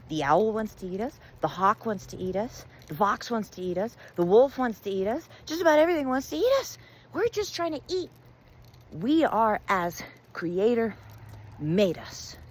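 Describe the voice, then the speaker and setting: A woman's voice: fairly low pitch, darkish tone, a little hiss or hum. A woman voicing an indignant, wounded male rat in an oral story, protesting emphatically and pleading his case.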